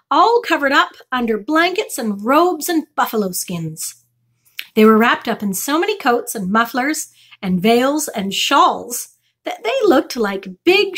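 A woman's voice: high-pitched, fairly bright tone, husky. A middle-aged woman reading a children's chapter book aloud as a storytime reader. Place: small furnished room indoors.

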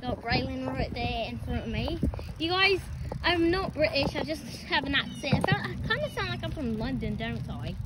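A young woman chatting casually to viewers on a residential street, jumpy and excitable. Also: high voice, normal speed. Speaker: vlogger. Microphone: handheld phone. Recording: loud outdoor street noise.

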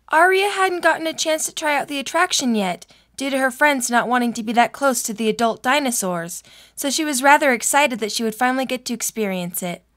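A woman's voice: on the high side, fairly bright tone. A young adult woman reading scripted story narration for an audio drama.

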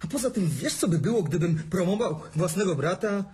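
A man's voice: high voice, expressive, fairly quick, a little mellow, a little hiss or hum. An actor playing a strict, religious man who answers his brother in a dry, curt, disapproving way. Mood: stern. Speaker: brother working at a religious radio station. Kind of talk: amateur radio drama acting.

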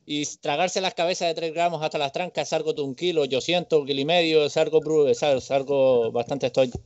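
A man's voice: on the high side, fairly even intonation, fairly quick, dry recording. A man from the Canary Islands, chatting casually about fishing on a livestream, friendly and slangy. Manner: friendly, slangy, says "tío" and "hermano". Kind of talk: casual chat on a video call. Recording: dry, dull call audio.

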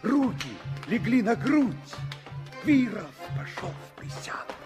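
A man's voice: high voice, sing-song, slow pace, full character voice. A man as the lyrical storyteller narrating a 1960s Soviet radio play in dramatic, scripted style. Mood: stirred. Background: music.

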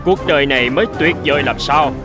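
A man's voice: on the high side, fast, over music, close to an everyday voice. A man voicing a boastful, greedy seabird in a cartoon, swaggering and exclaiming. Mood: gloating. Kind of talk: cartoon dubbing.

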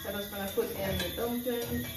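A young woman with a Jamaican accent chatting casually through a late-night cooking vlog, relaxed and laughing often, recorded by a camera across a bare concrete kitchen. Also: mid-range voice, brisk, far from the mic, heavy background noise. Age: young adult. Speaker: cooking vlogger. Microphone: camera on tripod across the room. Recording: echoey room, voice from afar, loud music. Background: loud background music.

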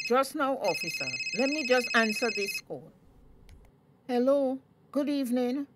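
A woman's voice: high-pitched, lively intonation, a little slow, heavy background noise. An actress with a Tobagonian accent playing an anxious, fussing middle-aged mother in a radio drama. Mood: flustered.

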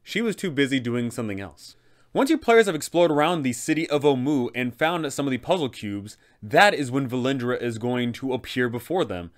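A man's voice: mid-range voice, lively intonation, brisk. Young man presenting game advice. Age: young adult. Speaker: host, game master giving advice. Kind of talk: tabletop game guide commentary to camera.

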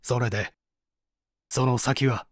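A man's voice: medium pitch, steady tone, takes it slowly, dry recording, studio quality, voice pushed down, close to an everyday voice. A man playing a stern, probing prosecutor who questions a suspect formally in a radio-drama reading.

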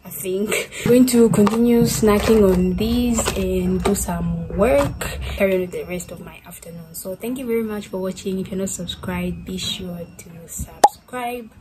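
A woman's voice: mid-range voice, normal speed, hardly any pauses, noisy background. A young woman from Zambia vlogging casually to the camera indoors, rambling with lots of "like" fillers. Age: young adult. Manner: chatty, rambling, many fillers like "like". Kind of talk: casual vlog talk to camera. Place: bedroom, indoors.

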